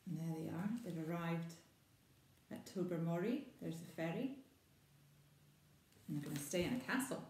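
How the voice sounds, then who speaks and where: A woman's voice: on the low side, animated, moderate pace, pauses often, a little mellow, a little hiss or hum. A Scottish woman reading a children's picture book aloud, with chatty asides to young listeners. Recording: echoey room.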